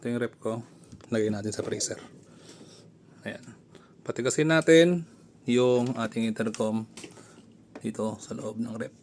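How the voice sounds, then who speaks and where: Casting: male gear reviewer, mid-pitched voice, conversational step-by-step demo delivery, noticeable background noise. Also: fairly even intonation, measured pace, long pauses, darkish tone. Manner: conversational, narrates actions step by step. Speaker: motorcycle gear reviewer and content creator. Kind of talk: casual product review and demonstration. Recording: clear background noise, room ambience.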